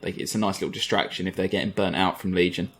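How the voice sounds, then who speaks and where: A man's voice: low voice, level tone, fast talker, a bit bright. A man co-hosting a podcast, discussing games casually as a keen fan who feels his way through his points. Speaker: podcast co-host.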